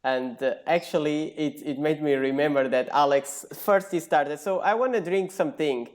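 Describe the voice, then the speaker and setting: Slightly high-voiced man hosting, native Portuguese speaker. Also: moderate pace. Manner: self-deprecating, hesitant. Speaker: host of an online talk. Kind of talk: casual moderated group chat.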